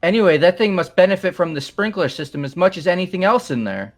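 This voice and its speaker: A man's voice: high-pitched, animated, fast talker, faint music behind. A man voicing a dim, easygoing, exasperated young man in a game playthrough. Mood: earnest, casual. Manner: incredulous, casual, earnest. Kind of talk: voiced visual novel game playthrough.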